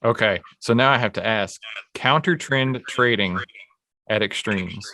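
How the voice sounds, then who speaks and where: A man's voice: lowish voice, pushed and bright. A man from Texas with a Texan accent, hosting an interview and asking friendly questions. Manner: friendly, quick questions with small repeats. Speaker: host.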